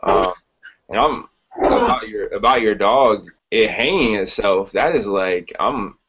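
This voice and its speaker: A man's voice: on the low side, a little slow, a bit bright, breathy edge. A man co-hosting a podcast, chatting informally in a supportive way. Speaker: podcast co-host. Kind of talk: casual chat. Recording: dull lo-fi podcast audio.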